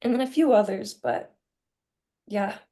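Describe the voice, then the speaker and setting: A woman's voice: mid-range voice, measured pace, warm tone. A young woman in a casual group discussion, speaking informally and with enthusiasm as a student on a podcast. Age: young adult.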